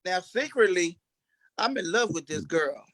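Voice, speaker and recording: A male interview guest with a high voice and very little room echo. He talks casually, starting and stopping, and repeats phrases for emphasis. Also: animated, measured pace, fairly bright tone. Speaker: stage actor, interview guest. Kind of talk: casual chat.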